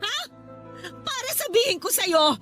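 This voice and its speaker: A woman's voice: very high-pitched, very animated, unhurried, a bit bright, full character voice. A woman acting an embittered, nagging mother of a poor household in a radio drama, scolding and accusing. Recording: music behind the voice. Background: music.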